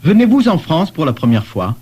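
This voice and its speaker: A man playing a polite questioner in a scripted language-lesson dialogue, articulating deliberately. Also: on the high side, very animated, a little mellow, slightly rough, lo-fi sound. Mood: polite, inquiring.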